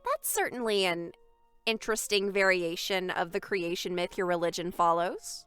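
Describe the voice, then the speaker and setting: A woman playing a poised, formal and sceptical young woman in an animated fandub, reserved and precise. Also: fairly low pitch, moderate pace, clear, resonant tone, dry recording, faint music behind.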